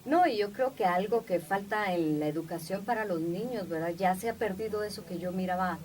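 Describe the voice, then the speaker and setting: A woman's voice: medium pitch, brisk, darkish tone. A woman from Guatemala speaking earnestly as a guest in a conversational interview, repeating phrases for emphasis. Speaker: interview guest, media personality. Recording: distant mic, faint background noise.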